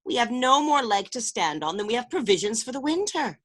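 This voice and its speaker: A woman's voice: fairly high pitch, sing-song, brisk. A woman acting a bitter, mournful castaway in a radio play, declaiming theatrically over a video call. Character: bitter, mournful castaway with archaic, florid speech.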